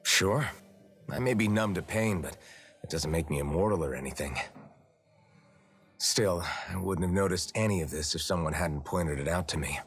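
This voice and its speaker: A man's voice: deep voice, normal speed, breathy edge, faint music behind. An actor playing a stoic young male slave in a video game, speaking in a dry, matter-of-fact way. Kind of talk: video game dialogue acting.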